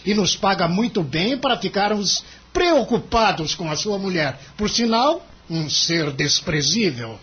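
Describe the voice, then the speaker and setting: Husky, animated, domineering Brazilian lawyer. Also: male voice, high-pitched, unhurried, highs cut off, heavily acted. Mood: scornful, domineering. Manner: emphatic, condescending, theatrical delivery. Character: cynical, domineering, money-minded male senior lawyer. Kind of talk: audio drama acting.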